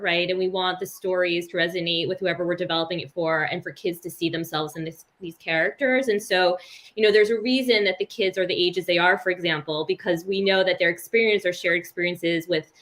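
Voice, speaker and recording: A woman's voice: fairly low pitch, fairly bright tone. A woman giving an unscripted, conversational answer as an executive on a panel. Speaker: animation executive.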